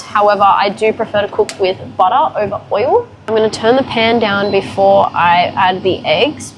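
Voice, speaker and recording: A woman's voice: mid-range voice, noisy background. A young woman with a congested, sick-sounding voice, chatting frankly and upbeat through a cooking tutorial in her home kitchen. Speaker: fitness vlogger.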